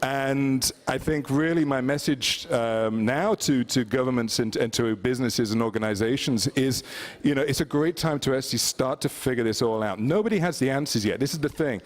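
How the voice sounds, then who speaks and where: A man's voice: mid-range voice, moderate pace. Rambling man giving a conference talk. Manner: rambling, many fillers. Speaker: conference speaker. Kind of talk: presentation talk. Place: conference hall.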